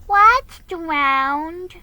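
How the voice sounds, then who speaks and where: Woman as very young boy, storybook, high pitch, bright, innocent, questioning. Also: expressive, drawn-out words, no room sound, heavily acted. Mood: curious.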